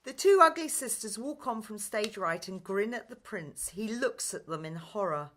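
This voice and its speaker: A woman's voice: medium pitch, expressive, moderate pace, a bit bright, slight background noise. A woman reading scripted stage directions as narrator of a radio play.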